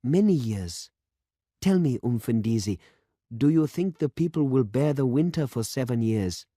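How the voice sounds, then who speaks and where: A man's voice: mid-range voice, lively intonation, measured pace, dark tone, no room sound, studio quality, voice pushed up. A man reading aloud and voicing an earnest, impatient young male farming demonstrator in an urgent, insistent manner.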